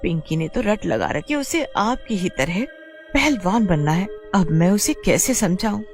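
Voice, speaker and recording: A woman voicing a worried mother of two daughters in a cartoon, speaking softly and fretfully. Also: medium pitch, sing-song, unhurried, soft background music. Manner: soft, worried complaining.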